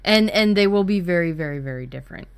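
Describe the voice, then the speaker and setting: A woman's voice: fairly low pitch, animated, quick pace, clear, resonant tone. A woman co-hosting a workshop in casual, informal chat with a blunt way of talking. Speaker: workshop co-host.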